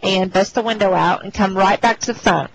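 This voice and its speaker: A woman's voice: medium pitch, a little fast, gravelly, close to an everyday voice. A woman playing a serious, insistent hotel general manager on a prank phone call. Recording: noisy telephone line with clicks.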